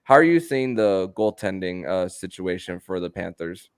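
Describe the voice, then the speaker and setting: A man hosting a sports podcast in a conversational style, halting often and repeating words as he goes. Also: low-pitched, lively intonation, smooth.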